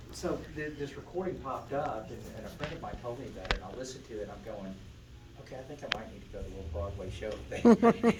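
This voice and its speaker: An enthusiastic, emphatic man giving an informal, halting spoken introduction as a presenter at an audio demonstration. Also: fairly low pitch. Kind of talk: informal talk introducing music to listeners. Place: indoor demonstration room, recorded from a distance. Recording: echoey noisy room, distant mic.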